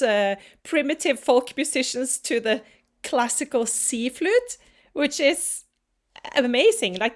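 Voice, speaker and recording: A woman musician from Norway, whose first language is Norwegian, answering interview questions in a relaxed way, often stopping to hunt for words. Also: mid-range voice. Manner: searches for words, many restarts and fillers. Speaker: folk musician.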